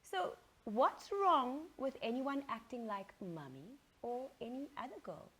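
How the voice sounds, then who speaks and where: A woman's voice: a little high, animated, measured pace, fairly bright tone, slightly rough, full character voice. A woman voicing an outspoken, emphatic mother in a story reading, firm with both anger and tenderness in her. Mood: stern, probing. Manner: firm, emphatic, patient with child.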